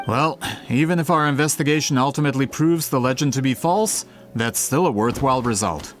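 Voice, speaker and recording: An actor playing a polite, earnest gentleman researcher in a video game, with formal, courteous phrasing. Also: medium pitch, a little fast, close to an everyday voice. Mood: earnest, measured. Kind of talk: English video game voice acting. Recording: faint background music under the voice.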